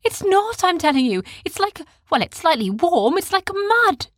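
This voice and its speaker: A woman's voice: very high voice, big pitch swings, moderate pace, no echo, clean studio sound, pitched above the performer's own voice. A woman voicing a bold, curious young boy. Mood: insistent.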